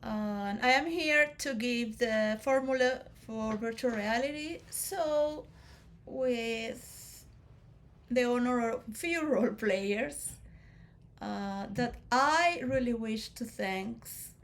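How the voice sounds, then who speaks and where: Woman, high voice, rambling philosophical talk, soft then loud bursts. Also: slow pace. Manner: swings between soft musing and loud emotional outbursts. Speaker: speaker addressing role players.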